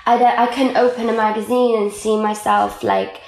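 A woman's voice: on the high side, sing-song, moderate pace, a little mellow, reverberant, rough recording. A young woman from Wales with a Welsh accent, whose first language is Welsh, chatting freely as an interview guest and filling her talk with "like". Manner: chatty, lots of "like" fillers. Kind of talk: casual conversational interview.

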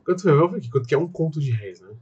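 Brazilian guy, slightly husky, kinda high voice, chatty and animated about a movie. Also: racing, muffled recording. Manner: animated, quotes film lines in put-on voices. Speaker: podcast co-host. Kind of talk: casual film review chat.

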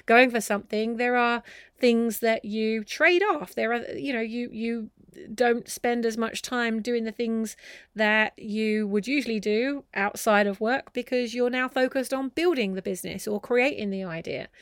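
A woman host giving a motivational coaching talk to viewers, speaking emphatically and deliberately, often building lists of repeated phrases. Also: a little high, dry recording, studio quality.

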